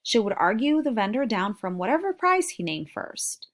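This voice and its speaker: A woman's voice: on the high side, big pitch swings, normal speed. A woman reading a children's novel aloud as a storybook narrator.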